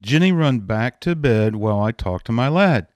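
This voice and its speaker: A man's voice: medium pitch, expressive, moderate pace, dry recording, lightly acted. A man voicing a kind, reassuring father in a rhyming Christmas story. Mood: gentle. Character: kind, steady young father. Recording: guitar music underneath. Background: acoustic guitar.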